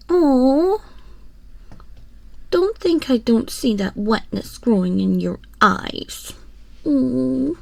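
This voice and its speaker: A woman's voice: medium pitch, creaky, darkish tone, no room sound. A woman playing a haughty, mocking empress in an ASMR roleplay, with imperious, condescending and theatrically formal delivery, close on a binaural microphone. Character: haughty, cruel, mocking empress toying with a prisoner. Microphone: close binaural microphone, dry.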